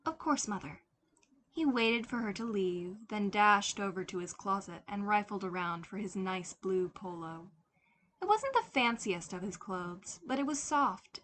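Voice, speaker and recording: A woman reading a scripted story aloud as an expressive fiction narrator. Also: medium pitch, moderate pace, a little hiss or hum. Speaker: podfic narrator.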